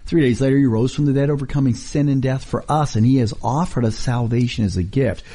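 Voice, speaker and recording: A middle-aged man preaching a radio sermon, emphatic and full of rhetorical questions; English is not his first language. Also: brisk. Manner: emphatic, rhetorical questions, lively swings in pitch.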